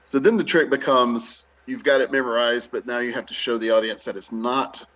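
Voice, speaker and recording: A man's voice: mid-range voice, steady tone, fairly quick, a bit bright, highs cut off. A man talking casually in an interview as a theatre director and actor, explaining things in an informal, easygoing way.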